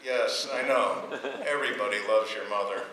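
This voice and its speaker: An actor playing a sardonic, exasperated husband in a radio domestic comedy, sarcastic and incredulous. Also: male voice, lowish voice, normal speed, sharp tone. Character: exasperated, sardonic husband dreading his mother-in-law. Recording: very echoey, distant, faintly noisy radio-play recording.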